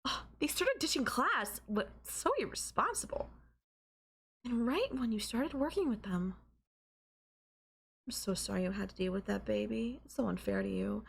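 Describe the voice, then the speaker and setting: Casting: woman as young student girlfriend, mid-pitched, coy, teasing and affectionate, for intimate anime-style audio roleplay. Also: animated, normal speed, creaky, slightly rough. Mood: sympathetic.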